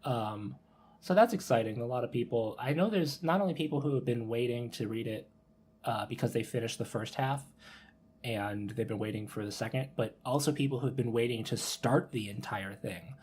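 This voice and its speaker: A man's voice: mid-range voice, normal speed, darkish tone, a little hiss or hum. A man hosting a podcast, casually running through news in a hesitant, hedging way. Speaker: podcast host.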